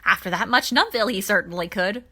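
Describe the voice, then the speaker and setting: A woman voicing a jaunty, boastful older alien gentleman in an audiobook, telling nostalgic stories with theatrical flourish. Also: mid-range voice, animated, quick pace. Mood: jaunty, proud.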